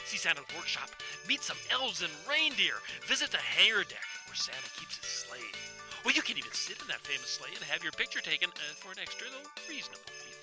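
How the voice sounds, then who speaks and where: An actor playing a brash, slick travel agent giving a showy hard-sell pitch in radio comedy style. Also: male voice. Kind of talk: parody commercial pitch, radio comedy acting.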